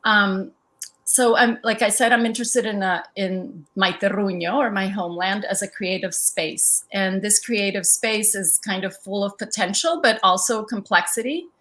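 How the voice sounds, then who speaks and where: Artist guest: middle-aged woman from El Salvador, reflective, unhurried, plenty of ums. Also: medium pitch, fairly bright tone. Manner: thoughtful, reflective, with frequent ums. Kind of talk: conversational museum artist talk.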